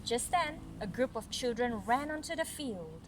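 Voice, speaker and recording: Higher-pitched woman reading aloud, noisy background. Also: sing-song, normal speed. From Malaysia. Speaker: mother reading a story to a young child. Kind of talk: read-aloud storytelling with conversational asides. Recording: noisy recording with background sounds. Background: ambient noise, young child nearby.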